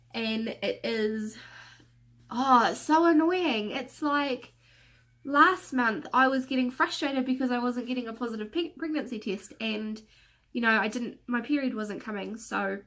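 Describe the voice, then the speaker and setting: A woman's voice: mid-range voice, sharp tone. Nasal woman chatting with a stuffy nose. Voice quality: slightly nasal. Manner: rambling with many fillers and restarts. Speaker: vlogger. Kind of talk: casual chatty vlog rant.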